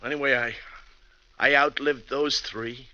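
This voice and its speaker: An actor playing a deranged, desperate killer posing as a bus driver, menacing and overwrought in 1940s American radio drama style. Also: male voice, mid-range voice, fairly even intonation, measured pace, bright, forceful tone. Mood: broken, bitter. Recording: dull old radio recording.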